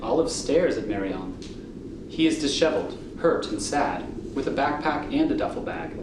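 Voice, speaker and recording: A man's voice: mid-range voice, expressive, normal speed, noisy background. A man reading scripted stage directions as the narrator of a radio drama. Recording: echoey room, prominent music behind.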